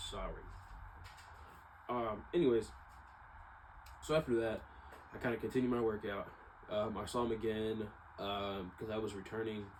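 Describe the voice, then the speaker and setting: A young American man with a slightly low voice rambles reflectively through a casual personal monologue. He circles back and repeats himself as he goes. There is clear background noise in the recording. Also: a little mellow, poor audio. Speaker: vlogger.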